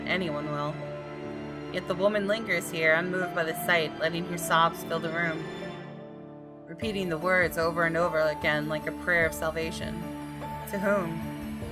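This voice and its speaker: A woman hosts a gameplay video, reading visual novel text as suspenseful storytelling and adding casual remarks. Her pitch sits a little low, and game music is clearly heard behind her. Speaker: gaming YouTuber, host. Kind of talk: dramatic read-aloud of a visual novel with casual commentary.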